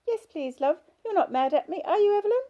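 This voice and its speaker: A woman's voice: extremely high pitch, lively intonation, a little fast, warm tone, highs cut off, pitched above the performer's own voice. A woman voicing a middle-aged hospital patient who talks in a whiny, childish, pleading way. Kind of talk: audiobook character voice.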